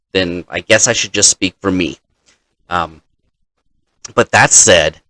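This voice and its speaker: Slow-paced man telling game stories. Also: lowish voice, dry recording, low-quality recording. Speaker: dungeon master recounting game sessions. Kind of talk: casual storytelling to camera.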